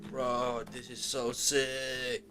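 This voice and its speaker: A man's voice: medium pitch, monotone, drawn-out words, sharp tone, a little hiss or hum. A young man giving casual, slangy gaming commentary as a streamer, with exclamations. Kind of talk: casual game reaction commentary.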